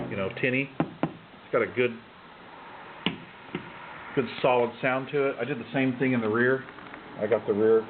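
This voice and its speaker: A man giving a casual, unscripted walkthrough of his hobby gear, halting often and tossing in offhand asides. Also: mid-range voice. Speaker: CB radio hobbyist presenting his own base station. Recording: muffled phone-like sound, loud background noise.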